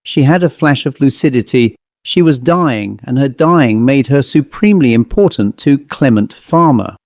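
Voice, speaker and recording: A man reads a classic ghost story aloud with measured, deliberate pacing. His voice is mellow and dark. The recording is dry and close, with no room sound. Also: mid-range voice, expressive, no echo. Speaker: audiobook narrator. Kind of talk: scripted narration of a ghost story.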